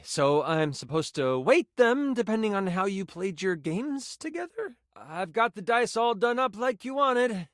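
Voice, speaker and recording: An actor playing an excitable, theatrical nerdy game master in a video game, moving between grand narration and casual asides. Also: male voice, high voice, no echo, studio quality, exaggerated voice. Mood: casual, explanatory. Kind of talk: video game character voice lines, dramatic tabletop narration.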